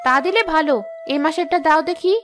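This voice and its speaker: A woman playing a spiteful cartoon sister-in-law, pitched extremely high. She scolds and taunts greedily, bossing people around over clear background music. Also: brisk. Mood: scornful. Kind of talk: Bengali moral-story cartoon voice acting.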